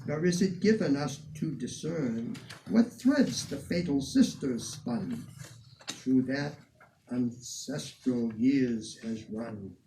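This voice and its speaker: Slow, declamatory man reciting verse, distant mic. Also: medium pitch, lively intonation, warm tone. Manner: measured, declamatory, deliberate phrasing with emphatic swells. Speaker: reader of poetry, museum curator. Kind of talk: dramatic poetry recitation in the person of a 19th-century poet. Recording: distant microphone with some background noise.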